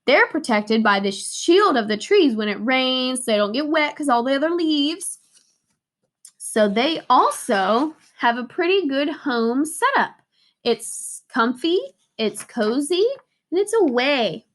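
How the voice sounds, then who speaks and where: A woman's voice: high-pitched, lively intonation, slow. A woman teacher reading a picture book aloud to young children and explaining it in simple, emphatic, playful phrases.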